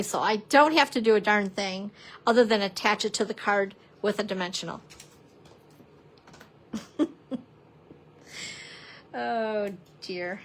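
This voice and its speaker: A woman teaching a live craft demonstration, chatty and prone to laughing at herself, a little hoarse as she's losing her voice. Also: a little high. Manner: chatty, giggly, self-deprecating, exclamatory. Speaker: papercrafting instructor.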